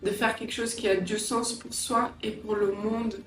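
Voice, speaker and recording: A middle-aged woman from Angola and England giving a personal interview, reflective and hesitant, often pausing mid-sentence. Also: mid-range voice, level tone. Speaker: interviewee, entrepreneur and language teacher. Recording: roomy echo, distant mic, faint music.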